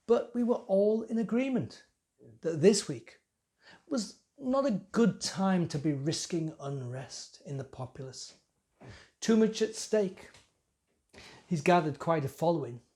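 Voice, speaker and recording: A mellow, lightly pitched young temple priest, played by a man, schemes his way through a dramatic monologue. He muses and confides theatrically, full of his own importance yet rattled. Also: expressive, unhurried. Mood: flustered, confiding.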